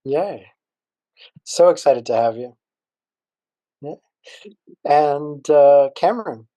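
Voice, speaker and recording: A man's voice: a little high, animated, slow pace, warm tone, no room sound, lo-fi sound. A man hosting a video-call panel, handing over to guests with loose, stumbling introductions. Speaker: panel host.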